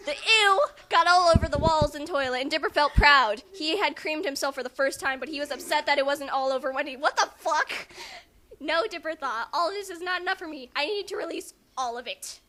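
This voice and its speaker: A woman's voice: high-pitched, a little fast, bright, forceful tone. A young woman doing an amateur dramatic reading, giggly and reluctant, breaking off for casual remarks. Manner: giggly, reluctant, interjecting asides. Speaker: amateur reader. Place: room in a house. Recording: noisy home recording.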